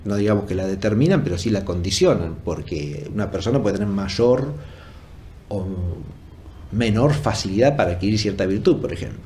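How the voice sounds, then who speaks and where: A man giving an explanatory academic lecture off the cuff, deliberate and often checking for agreement with short tag questions. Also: lowish voice, animated, mellow, slight background noise. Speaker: philosophy lecturer.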